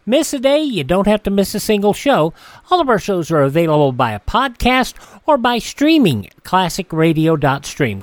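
A man's voice: on the high side, no echo. A man hosting a classic radio show, giving a friendly promotional sign-off. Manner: friendly promotional host patter. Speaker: radio host.

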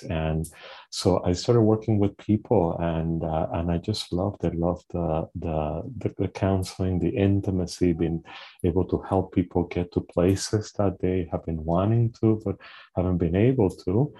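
A man's voice: sits low, dark tone, slightly rough, poor audio. A middle-aged man from Puerto Rico, a clinical social worker being interviewed, who speaks thoughtfully and often restarts his phrases. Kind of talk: conversational interview.

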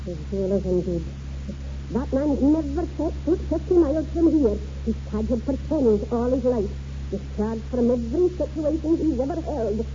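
A sharp-tongued, devout old Scottish granny in a vintage radio play. Her voice is high and swoops freely as she scolds and gives orders. The old recording is dull and plainly hissy. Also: lively intonation, moderate pace. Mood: bitter, commanding. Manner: scolding, bossy. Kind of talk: 1940s radio acting. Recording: muffled, noisy 1940s radio recording.

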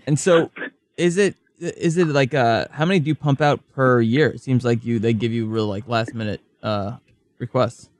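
A man's voice: mid-range voice, normal speed, no echo. A man casually asking questions as a podcast interviewer.

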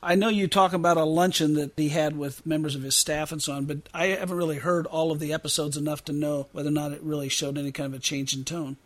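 Higher-pitched man hosting an interview. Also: steady tone, quick pace, hardly any pauses. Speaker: podcast host, interviewer. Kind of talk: casual interview.

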